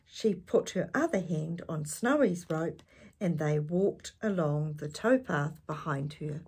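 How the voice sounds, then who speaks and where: An older woman with a husky voice reading a picture book expressively to young listeners, adding chatty asides and animated tag questions. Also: low voice, slow pace. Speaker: children's storytime reader. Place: small indoor space with curtain backdrop.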